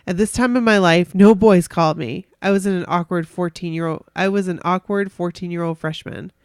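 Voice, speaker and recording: A woman's voice: fairly low pitch, a little fast, clean tone, no room sound, studio quality. A woman co-hosting a podcast, casually chatting and reading stories aloud in a giggly, gushing way. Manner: giggly, gushing, reacts excitedly. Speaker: podcast co-host.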